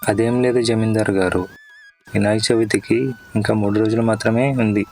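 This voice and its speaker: A man's voice: lowish voice, flat delivery, brisk, darkish tone, soft background music. A man playing a sensible, traditional-minded village uncle in a cartoon story, firm and respectful. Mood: earnest. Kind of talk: Telugu cartoon story dialogue.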